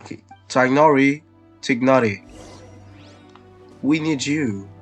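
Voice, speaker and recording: A man's voice: medium pitch, slow pace, soft background music. A man doing casual let's play commentary, reacting in short remarks and talking back to the game's characters.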